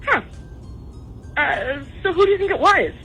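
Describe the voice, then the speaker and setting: High, very expressive woman on a call. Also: moderate pace, muffled recording, faint music behind. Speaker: caller on a radio phone segment. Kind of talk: conversational phone call.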